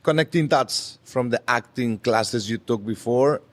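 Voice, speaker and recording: A man's voice: medium pitch, unhurried. A man hosting a podcast in English, a Spanish speaker by first language, joking loosely with his guest. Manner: joking, loose conversational host. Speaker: podcast host. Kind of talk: casual interview chat.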